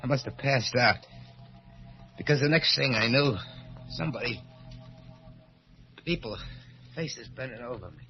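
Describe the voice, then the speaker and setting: An actor playing a tough, evasive fugitive killer in an old-time radio thriller, speaking in clipped colloquial phrases. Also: male voice, mid-range voice, a little slow, long pauses, natural voice. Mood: haunted. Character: murderous escaped male fugitive, jumpy, evasive, tough, narrating his confession. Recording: muffled vintage radio, loud music behind.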